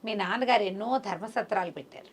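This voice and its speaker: A woman's voice: medium pitch, fast talker, slight background noise, lightly acted. A woman storyteller voicing a prince's loyal young male friend in a folk tale, reasoning things out helpfully.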